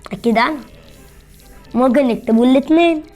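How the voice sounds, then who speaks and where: High-voiced, teasing Egyptian woman playing games. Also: fast, a little mellow, faint music behind. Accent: Egyptian Arabic. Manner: teasing, boastful. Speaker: gaming channel host. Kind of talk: casual bantering gameplay commentary.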